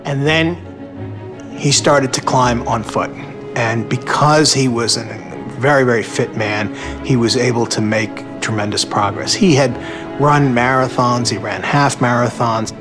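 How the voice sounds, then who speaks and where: A man's voice: mid-range voice, fairly even intonation, unhurried. A man recounting events as a documentary interviewee. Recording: music behind the voice. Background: clear background music.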